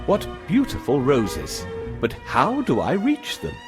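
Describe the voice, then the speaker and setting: A man playing a devoted, pleading old merchant father in an animated storybook tale, speaking dramatically. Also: medium pitch, takes it slowly, music in the background. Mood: delighted.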